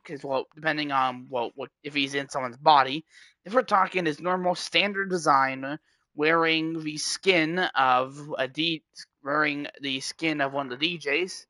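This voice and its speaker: Bright-voiced man rambling to his chat. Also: on the high side, no room sound. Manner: rambling, self-correcting, talks straight to chat. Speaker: streamer. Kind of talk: casual livestream chat.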